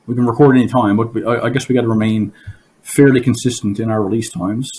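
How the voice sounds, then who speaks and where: A hoarse man hosting a casual podcast from his basement, rambling through stories and laughing at his own jokes. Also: medium pitch, fairly even intonation, a little fast, warm tone, slight background noise, low-quality recording. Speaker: podcast host. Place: home basement.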